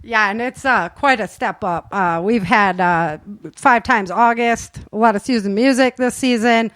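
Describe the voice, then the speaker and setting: A woman's voice: on the high side, measured pace, a bit bright, dry recording. A woman hosting a casual cast interview, chatty and informal, often chuckling as she talks. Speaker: host and producer of an audio drama.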